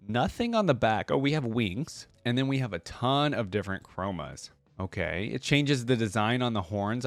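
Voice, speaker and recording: A man with a very lively, swinging intonation and an average-pitched voice gives casual reaction commentary in a dry, close-sounding space. He talks informally, thinking aloud as he goes. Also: dry recording. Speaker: video creator and host.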